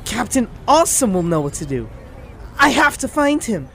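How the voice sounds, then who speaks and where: An actor voicing an excitable, awestruck boy who talks aloud to himself in a scripted audio drama. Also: medium pitch, normal speed, vocal fry, a little hiss or hum. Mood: worried. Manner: exclamatory, talking aloud to himself. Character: excitable, awestruck boy, comic book fan.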